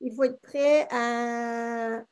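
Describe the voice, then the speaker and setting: A woman with a Québécois French accent talks in a high voice. She sounds middle-aged and speaks casually in an interview. She fills her talk with hesitations and restarts. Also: fairly even intonation, extremely slow, a little mellow, smooth. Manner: chatty, many fillers and restarts. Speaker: interview guest.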